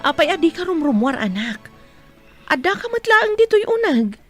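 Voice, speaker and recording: A woman's voice: very high voice, big pitch swings, moderate pace, faint music behind. A woman with an Ilocano accent playing an anxious, protective young mother in a radio comedy drama. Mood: worried, explaining.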